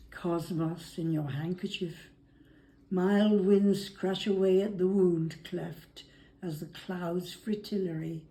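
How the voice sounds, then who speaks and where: A woman's voice: lowish voice, dark tone. A woman reading poetry aloud as an amateur, recorded with some faint background noise. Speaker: family member reading poetry.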